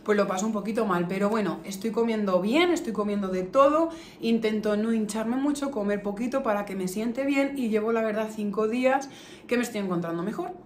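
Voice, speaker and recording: A woman's voice: medium pitch, fairly quick. Echoey, chatty Spanish woman vlogger. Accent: Castilian Spanish from La Mancha. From Albacete, La Mancha, Spain. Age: early 30s. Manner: chatty, affectionate, emphatic with repetitions. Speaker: beauty vlogger. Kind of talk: casual chat to camera, answering viewer questions. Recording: echoey room with faint noise.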